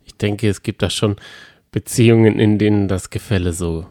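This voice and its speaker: A man's voice: fairly low pitch, steady tone, moderate pace, warm tone, no room sound, studio quality. A man chatting casually as a podcast co-host in a colloquial, teasing way. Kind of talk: casual chat about reality TV.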